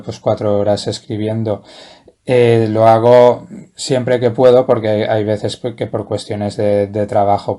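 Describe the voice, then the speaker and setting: A Spanish man in his forties speaks in a low, mellow voice that stays fairly level. He answers as an interview guest, thoughtful and hesitant, often restarting his sentences. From Valencia, Spain. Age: 40s. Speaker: guest writer.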